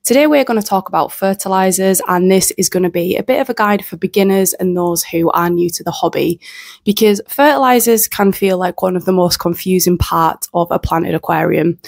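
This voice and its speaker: A woman in her forties hosting an aquarium channel, explaining fertilisers to the camera in a chatty, self-deprecating way, her voice husky from a cold, speaking into a handheld mic at home beside her planted tanks. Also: fairly low pitch, fairly quick, a little mellow, no room sound, studio quality. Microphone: handheld mic held close.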